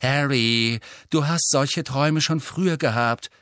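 Guy doing a bossy teen schoolgirl for an audiobook, brisk n lecturing, voice lifted higher, dry sound. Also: fairly bright tone, no room sound, lightly acted. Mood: annoyed, lecturing.

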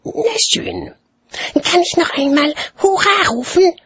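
Very high-pitched chatty little child. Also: male voice, very animated, slow pace, pushed and bright, no echo, clean studio sound, heavily acted. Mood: plaintive, eager. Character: naive chatty small child, cheerful and stubborn.

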